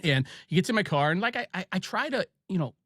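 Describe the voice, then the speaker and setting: A man's voice: high-pitched, very animated, a bit bright, husky, no echo, clean studio sound. A man with an Ohio accent hosting a podcast, chatting casually and telling stories with lots of fillers and restarts. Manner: chatty storyteller, halting with many fillers. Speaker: podcast host. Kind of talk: casual chat.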